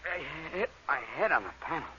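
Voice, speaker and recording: Man acting a mild spacefarer, very animated intonation. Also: fairly high pitch, normal speed, a loud crowd, natural voice. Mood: dazed. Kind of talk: old-time radio science fiction acting. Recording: dull, noisy old radio recording.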